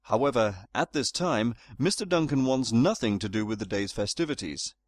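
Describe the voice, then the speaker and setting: A man's voice: mid-range voice, lively intonation, normal speed, no room sound, natural voice. A man playing a very proper British narrator in a comedy audio drama, dryly pompous and wordy. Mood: storytelling.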